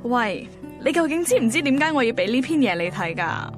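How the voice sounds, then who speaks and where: A woman's voice: sits high, sing-song, fairly quick. An actress playing a caring, demanding yet tender young girlfriend in a radio drama, speaking Hong Kong Cantonese. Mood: challenging. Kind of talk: radio drama acting and first-person narration. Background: music.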